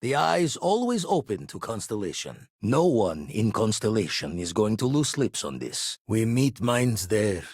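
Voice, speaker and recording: A shrewd, weathered former space pirate from a video game. His voice is husky and on the low side, with melody that swings widely from phrase to phrase. He speaks in measured, slangy and theatrical turns, guarded yet loyal to his crew. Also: very animated, a little mellow, no echo, clean studio sound, exaggerated voice. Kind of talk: scripted game character dialogue.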